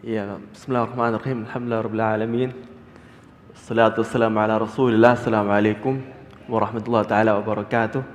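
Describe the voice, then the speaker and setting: A man's voice: on the low side, little pitch movement, rapid-fire, slight background noise. Thai-accented man speaking in a reverberant hall. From Thailand. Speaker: panelist, halal content creator. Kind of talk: informal self-introduction on stage. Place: large hall, on stage at a forum. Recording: reverberant hall, stage sound.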